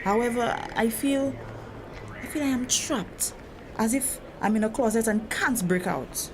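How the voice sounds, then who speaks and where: A woman's voice: a little high, unhurried, natural voice. A woman acting as a hurt, troubled grown daughter in a drama, speaking earnestly and confidingly about feeling trapped. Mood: frustrated. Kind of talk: dramatic acted dialogue. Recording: noisy location sound with steady ambience.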